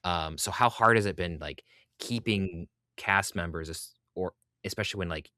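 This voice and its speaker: A man hosting a casual interview, feeling his way through questions in a halting, rambling style. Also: deep voice, sharp tone, no echo, studio quality. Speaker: podcast host, interviewer. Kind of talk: casual chat.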